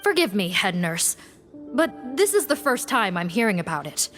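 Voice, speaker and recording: Game casting: a formal investigator, mid-pitched, courteous but doubtful. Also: female voice, sing-song, moderate pace, a bit bright, soft background music. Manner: polite, formal, skeptical. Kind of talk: video game dialogue.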